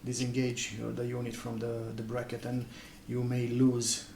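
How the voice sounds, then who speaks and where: A man's voice: medium pitch, monotone, normal speed, a little mellow. A man who is not a native English speaker gives a casual, hesitant product unboxing commentary, often searching for words. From Transylvania, Romania. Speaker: product reviewer. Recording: echoey room, noisy, clicks and crinkling.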